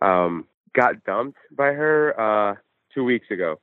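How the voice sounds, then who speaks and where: Male caller, very low register, halting and foul-mouthed casual talk, narrow telephone sound. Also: lively intonation, clean tone, no echo. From New Jersey. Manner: hesitant, profane. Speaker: phone-in caller. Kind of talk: casual chat, recounting own troubles. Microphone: phone call. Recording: muffled band-limited phone line.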